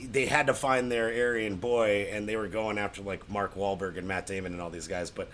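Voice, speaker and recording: A man's voice: lowish voice, fairly even intonation, fairly quick, fairly bright tone, slight background noise. A man talking informally in a casual film discussion, opinionated and loose with his language. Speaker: podcast panelist.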